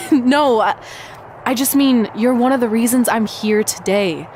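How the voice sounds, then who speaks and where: An actress as a high, chatty young wrestler. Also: female voice, a little slow, a little mellow, slight background noise, natural voice. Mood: excited, flustered. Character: eager, earnest young female wrestler, wryly witty. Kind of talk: video game cutscene acting, conversational.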